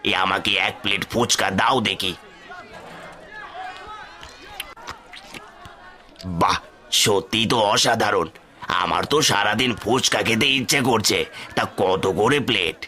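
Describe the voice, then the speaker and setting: A man's voice: fairly low pitch, normal speed, pushed and bright. A man voicing an approving, avuncular townsman in a cartoon. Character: approving male customers and humbled rival, avuncular. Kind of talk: cartoon voice acting.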